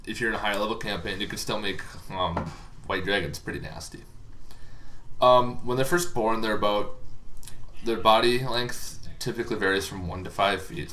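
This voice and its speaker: Really low guy voice but crisp and forward, rambling through game lore off the cuff, bit of noise behind him. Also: steady tone, moderate pace, sharp tone. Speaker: dungeon master explaining tabletop monster lore. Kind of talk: casual unscripted explainer. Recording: faint background noise.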